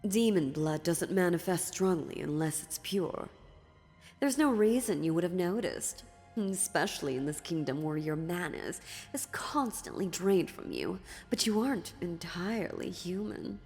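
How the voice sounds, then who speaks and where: Casting: ancient demoness played by a woman, lowish voice, unhurried, mocking and dominant, dark fantasy roleplay with music beneath. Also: lively intonation. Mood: condescending. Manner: slow, taunting, theatrical, deliberate pauses. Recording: long reverb, music underneath.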